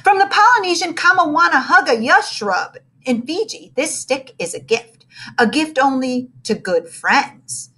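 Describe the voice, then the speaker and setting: A woman voicing a kindly, composed male schoolteacher in a storybook, earnest and measured as he explains. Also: high voice, expressive, pushed and bright, voice pushed up, exaggerated voice.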